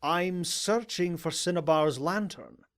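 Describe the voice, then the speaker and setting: A man's voice: on the high side, takes it slowly, a bit bright. A man voicing a grizzled, haughty old male unicorn scholar in an audio drama, with a pompous, sarcastic, theatrical delivery. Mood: intent. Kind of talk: animated audio drama character voice.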